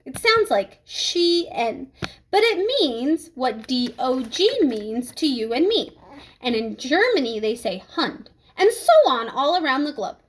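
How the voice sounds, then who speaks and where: A woman reading a children's storybook as a strict, controlled female schoolteacher, speaking in a measured, polite, authoritative way. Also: high-pitched, very animated, a little hiss or hum, full character voice. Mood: patient explaining. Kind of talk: children's storybook read-aloud.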